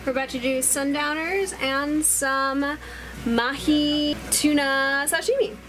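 A woman hosting a sailing vlog, explaining in a relaxed, matter-of-fact way aboard a boat. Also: sits high, takes it slowly, rough recording. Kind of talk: casual vlog narration. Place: on a sailboat at sea, outdoors. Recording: loud background music. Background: music.

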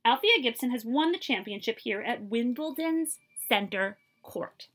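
A woman voicing an excited sports announcer in a storybook, loudly proclaiming a result. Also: on the high side, a little slow. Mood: triumphant. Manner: loud, proclaiming. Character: excited sports announcer calling a championship win.

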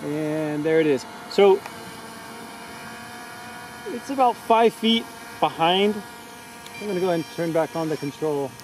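A man's voice: on the high side, lively intonation, unhurried, long pauses, low-quality recording. A man giving casual, upbeat commentary while test-flying a drone outdoors in a park field, thinking aloud as he goes. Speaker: drone reviewer. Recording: outdoor wind and traffic noise.

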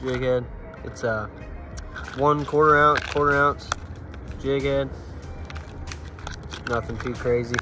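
A man's voice: medium pitch, fairly even intonation, takes it slowly, long pauses, over music. An American man chatting casually to viewers in a folksy, plain-spoken way as he presents an outdoor fishing vlog. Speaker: fishing vlogger. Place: outdoors by a river.

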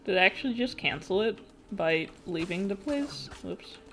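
A young woman streaming a game, chatting casually with her viewers in a nasal voice with vocal fry, giggling often and breaking into excited shrieks. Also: medium pitch, normal speed. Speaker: VTuber streamer. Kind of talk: casual gaming stream chat, reading chat aloud.

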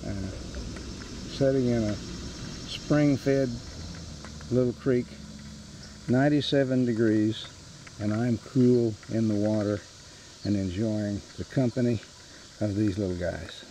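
A man giving casual, unhurried, plain-spoken narration for a fishing vlog, recorded outdoors on a creek with a handheld camera. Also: fairly low pitch, steady tone, mellow, rough recording. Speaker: angler filming his fishing trip. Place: outdoors in a float tube on a creek. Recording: outdoor creek noise with insects. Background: insects and natural outdoor ambience.

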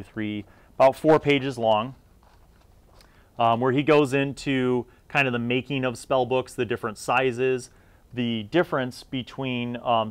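Middle aged guy doing a laid back review vid, regular pitch, kinda rambling and thinking out loud. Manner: rambling, conversational, thinking aloud. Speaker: video host reviewing old gaming magazines. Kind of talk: casual unscripted review commentary.

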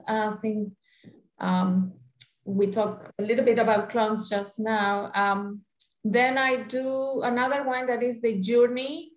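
A woman with a Chilean Spanish accent talks slowly and haltingly, pausing on fillers. Her voice sits a little high. She is heard over a video call from an echoey room. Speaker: winemaker. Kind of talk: video call panel talk.

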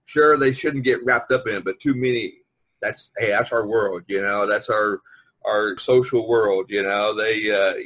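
Husky man chatting casually. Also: on the low side, fairly even intonation, measured pace, fairly bright tone, rough recording. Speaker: podcast host. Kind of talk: casual group chat among friends. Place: online video conference call.

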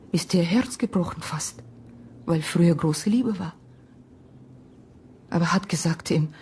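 An actress playing a sorrowful Ukrainian woman in a radio drama, speaking halting, broken German in a breathy half-whisper with an Eastern European accent. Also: lowish voice, mellow, slight background noise. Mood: sad, tender. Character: sorrowful Ukrainian woman recounting a lost love. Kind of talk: radio drama acting, intimate storytelling.

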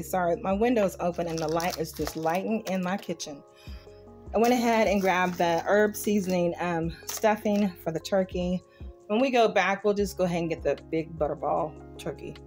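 A woman's voice: fairly low pitch, a little mellow. A woman vlogger in her home kitchen, casually and informally listing items to viewers as she shows them. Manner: informal, colloquial grammar, itemizing. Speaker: vlogger showing a grocery haul. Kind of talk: casual chat to viewers, product show-and-tell. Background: music.

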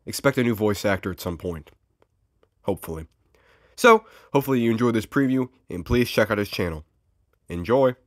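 A man's voice: fairly low pitch, animated, fairly quick, long pauses, dry recording. A man giving a casual video introduction as a presenter and voice actor.

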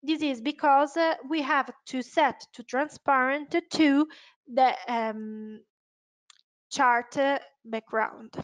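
A woman with an Italian accent presenting a step-by-step software tutorial, hesitant and unscripted, often correcting herself. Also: sits high, steady tone, slow pace. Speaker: webinar presenter, software trainer.

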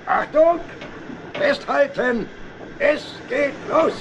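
An actor playing a friendly, gruff but kindly train driver in a children's radio play, with a hearty manner. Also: male voice, very high voice, expressive, drawn-out words, heavily acted. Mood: hearty, calling. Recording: steam train noise behind voice.

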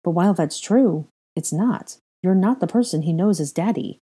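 A woman playing a protective, quick-tempered young mother in an audiobook, speaking earnestly and insistently. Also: fairly low pitch, warm tone, husky. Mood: firm, uneasy. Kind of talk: acted audiobook dialogue.

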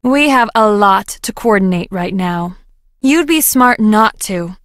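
A woman's voice: mid-range voice, animated, measured pace, a bit bright, dry recording. Earnest, folksy young woman scientist, dry recording. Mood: wry, frank. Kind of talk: video game voice acting.

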